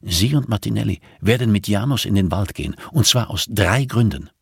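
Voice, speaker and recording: A man's voice: lowish voice, expressive, fairly quick, vocal fry, breathy edge, no echo, studio quality, full character voice. A man voicing an arrogant, irritable, sardonic investigator with a clipped, condescending manner in an audiobook. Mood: commanding.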